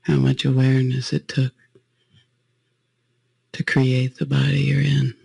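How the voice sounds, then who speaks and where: Male guided-meditation leader, gravelly and close in a dry space, gentle spiritual delivery. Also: medium pitch, monotone, unhurried, dry recording.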